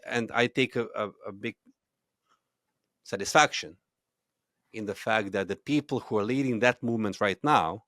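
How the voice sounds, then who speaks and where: A man's voice: lowish voice, a bit bright, no echo, clean studio sound. A man in his thirties from Serbia, a native Serbian speaker, telling stories as a podcast guest, with frequent restarts and fillers. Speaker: guest, movement founder. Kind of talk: conversational podcast interview.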